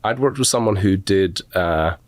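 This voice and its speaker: A middle-aged man with a softened Scottish accent, an animation instructor telling rambling, self-deprecating stories in a relaxed interview. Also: sits low, moderate pace, no room sound. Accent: Scottish, softened toward American. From Scotland.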